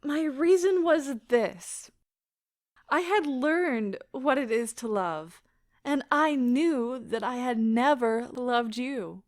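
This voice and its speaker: An actress playing an anguished, remorseful but defiant wife in an audio drama, speaking in an impassioned, deliberate, theatrical way. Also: female voice, high-pitched, no room sound, clean studio sound. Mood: cold, confessional. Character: anguished wife confessing to her husband, remorseful, wounded, bitterly defiant.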